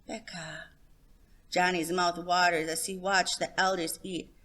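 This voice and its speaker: Female storyteller for a picture book, slightly low pitch, scripted narration. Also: little pitch movement, normal speed, a bit bright.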